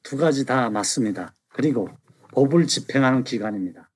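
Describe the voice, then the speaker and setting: Fast, husky-voiced Korean-speaking man. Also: medium pitch, expressive. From North Korea. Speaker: guest, former intelligence officer. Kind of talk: interview answers.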